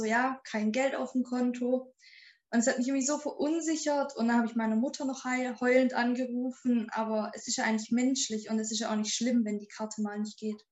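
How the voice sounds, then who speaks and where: A chatty young woman of twenty-one with a fairly high voice tells stories casually. Her voice rings in a roomy, echoey space. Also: a little mellow. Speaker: student podcast co-host. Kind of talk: casual chat.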